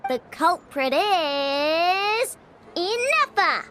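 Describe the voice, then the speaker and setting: A woman playing a cocky, energetic young girl in a video game, blunt and playful. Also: very high-pitched, drawn-out words, slight background noise, full character voice. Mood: accusing. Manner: blunt, exclamatory. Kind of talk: video game cutscene acting.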